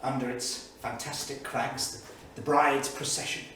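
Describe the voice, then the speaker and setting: An actor playing an erudite, anxious Cambridge don reading his 1938 journal in a literary, deliberate, wry theatrical style. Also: medium pitch, level tone, fairly bright tone, close to an everyday voice. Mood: vivid, wry. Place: small studio theatre, live staged reading. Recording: very echoey theatre, distant mic, faint noise.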